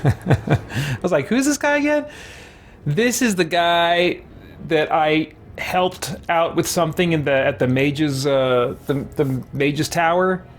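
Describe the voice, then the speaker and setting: Slightly high-voiced man, casual gaming chat. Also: animated, slow pace. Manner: chatty, informal. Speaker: let's play host. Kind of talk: casual game commentary.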